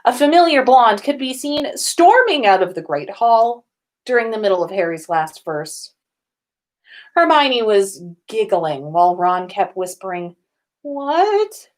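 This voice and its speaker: A woman's voice: medium pitch, animated, measured pace. A woman podcast host reading fan fiction aloud in an informal storytelling style.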